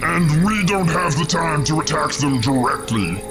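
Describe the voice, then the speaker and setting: A man's voice: fairly high pitch, animated, measured pace. A man playing a sly, haughty ogre chieftain in a fantasy game, condescending and theatrical, calling himself "we". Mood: scheming, instructive. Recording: loud music over the voice.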